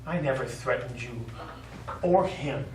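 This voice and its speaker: An actor on stage playing a middle-aged American war veteran, remorseful and lovelorn, speaking in a halting, earnest, pleading way. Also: male voice, medium pitch, natural voice. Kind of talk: stage play acting. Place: small theatre stage. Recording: echoey theatre stage, distant mic, faint noise.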